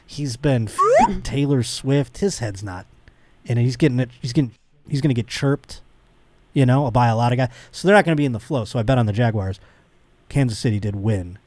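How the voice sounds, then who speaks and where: A man's voice: mid-range voice, fairly quick, a little mellow, dry recording. Rambling, playful man hosting a podcast. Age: middle-aged. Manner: rambling, self-deprecating, playfully theatrical. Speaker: podcast host. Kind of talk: casual comedic podcast chat.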